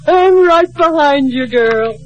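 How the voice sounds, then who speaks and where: Excitable American housewife sidekick, high, slow, swooping delivery, old radio comedy. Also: female voice, muffled recording, loud music behind the voice. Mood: eager, agreeing. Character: Iris, excitable, easily led banker's wife friend. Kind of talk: 1950s radio sitcom acting.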